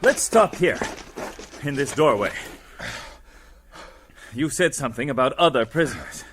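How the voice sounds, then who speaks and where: An actor playing a decisive heroic adventurer in a radio serial, giving orders with authority. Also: male voice, medium pitch, animated, unhurried, a bit bright, faint music behind. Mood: brisk, commanding. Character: commanding heroic male adventurer, calm and decisive. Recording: old radio drama recording.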